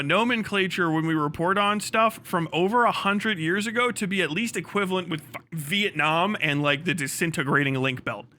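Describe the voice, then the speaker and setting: Guy streamer ranting, sarcastic n sweary, high sharp voice, all over the place. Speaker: livestreamer. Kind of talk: casual ranting livestream commentary.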